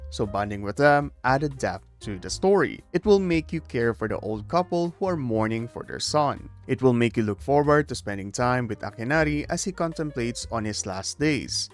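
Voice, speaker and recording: A man delivering scripted video essay commentary as host, with emphatic phrasing and jokey asides. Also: medium pitch.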